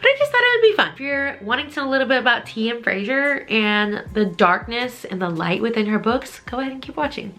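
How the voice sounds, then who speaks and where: A woman's voice: a little high, big pitch swings, fast, hardly any pauses, pushed and bright. A woman talking casually to viewers as a book vlogger, in an informal, conversational way with frequent hedging asides. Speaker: book reviewer video host. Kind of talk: casual chatty vlog talk to viewers.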